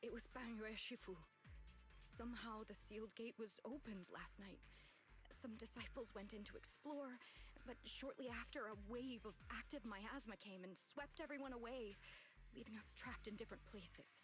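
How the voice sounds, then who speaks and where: A woman acts a worried young female disciple in a video game. Her voice sits fairly high and she recounts things earnestly, in measured phrases. Music plays clearly behind her. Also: lightly acted. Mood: earnest, somber. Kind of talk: dramatic game voice acting.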